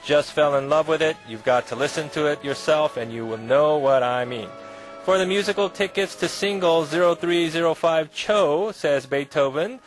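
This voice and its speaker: Male radio host, smooth polite announcer read, fairly high voice, soft music under. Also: normal speed, low-quality recording. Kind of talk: evening radio show hosting.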